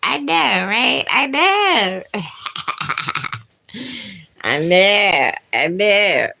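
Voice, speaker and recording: A woman's voice: medium pitch, sing-song, very slow. A young woman chatting with viewers on a livestream from her bed at home, drowsy and murmuring, often dropping to a whisper. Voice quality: husky, breathy voice. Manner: drowsy, drifting between murmurs and sudden loud laughs. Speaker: livestream host. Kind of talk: casual livestream chat with viewers. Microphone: phone camera.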